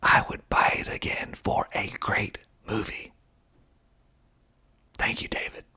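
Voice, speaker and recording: A man whispering breathily in ASMR style into a close mic, reading aloud slowly and deliberately with dry asides. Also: sharp tone. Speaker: filmmaker and ASMR video host. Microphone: close mic with pop filter.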